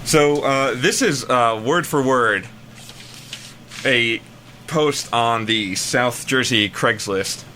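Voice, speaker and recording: Bright-voiced American man, rambling casually. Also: medium pitch, slow pace, a little hiss or hum. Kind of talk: casual banter.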